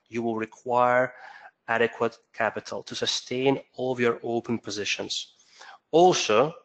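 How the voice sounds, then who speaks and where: A man's voice: mid-range voice, a bit bright, poor audio. A man presenting a trading webinar, explaining steadily, with occasional fillers and self-corrections. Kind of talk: instructional webinar talk.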